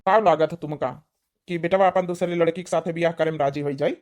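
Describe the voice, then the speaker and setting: A woman's voice: fairly low pitch, fairly even intonation, fast talker, darkish tone, close to an everyday voice. A woman with an Awadhi accent voicing an ageing village father who asks blunt questions in a cartoon story. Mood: inquiring. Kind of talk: amateur cartoon story dialogue.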